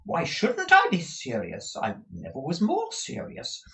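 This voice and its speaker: A man's voice: a little high, huge pitch swings, a little slow, fairly bright tone, pitched above the performer's own voice, heavily acted. A man voicing a fussy, gushing middle-aged mother from a storybook, emphatic, exclamatory and rambling. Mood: gushing, indignant.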